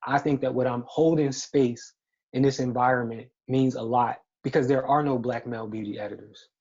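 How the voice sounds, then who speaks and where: Man, beauty editor, husky mid-pitched voice, earnest and deliberate, lo-fi audio. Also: fairly even intonation, darkish tone. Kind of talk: reflective interview answer.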